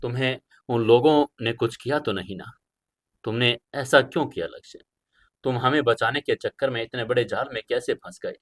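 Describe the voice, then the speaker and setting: Man voicing a tearful, anxious older-sister woman, bright tone. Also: mid-range voice, moderate pace, natural voice. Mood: tearful, worried. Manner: sobbing, worried, emotional.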